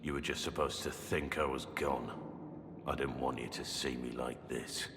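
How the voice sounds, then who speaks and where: A man playing a grave, gruff, guilt-ridden soldier in a video game, confessing haltingly. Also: extremely low pitch, steady tone, in a large hall, noisy background. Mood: remorseful, subdued. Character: guilt-ridden bearded male soldier confessing from a prison cell.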